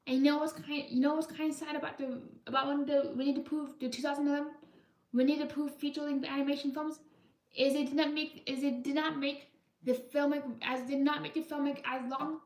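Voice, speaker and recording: A young woman hosting a live art stream, chatting casually and rambling with many restarts in a high-pitched voice. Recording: roomy echo, mic far off.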